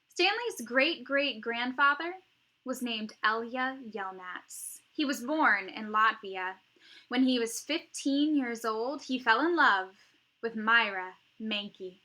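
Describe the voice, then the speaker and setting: A woman teacher reading a children's novel aloud, with expressive, emphatic phrasing and dramatic pauses. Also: on the high side.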